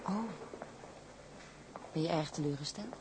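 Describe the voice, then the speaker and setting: A woman's voice: low-pitched, a little slow, background noise. An actress playing an eager, hesitant young woman engaged to a sailor, in a drama. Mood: tentative, probing. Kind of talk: broadcast drama acting.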